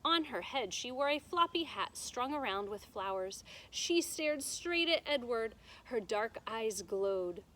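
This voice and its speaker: Bright-voiced woman reading a children's story. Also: on the high side, expressive, unhurried, few pauses, low-quality recording. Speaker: teacher reading aloud to students. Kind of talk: storybook read-aloud with simple explanations for children. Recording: noticeable background noise.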